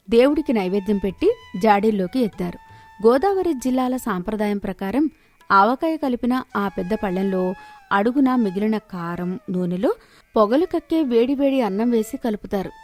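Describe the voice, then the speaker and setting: A woman's voice: fairly high pitch, lively intonation, normal speed, faint music behind. A woman storyteller reading a scripted moral tale.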